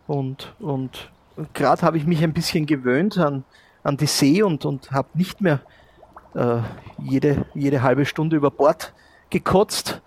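A man improvising the part of a modest shopkeeper and former sailor, speaking haltingly and repeating words as he searches for them. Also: a little high, a little mellow, breathy edge. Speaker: player in an improvised pirate audio adventure. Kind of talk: improvised in-character role-play dialogue.

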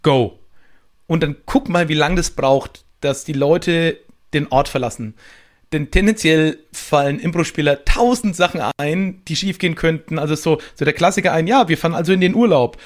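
Male podcast guest, fairly high-pitched voice, talkative and conversational, explains with examples. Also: moderate pace. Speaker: podcast guest, improv performer. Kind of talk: casual explanatory podcast chat.

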